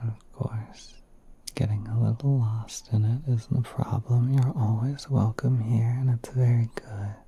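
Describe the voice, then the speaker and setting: A man playing a gentle, courteous, shy spider prince in an ASMR roleplay, soft and breathy, often whispering, with polite, hesitant musing. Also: on the low side, slight background noise. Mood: welcoming, musing.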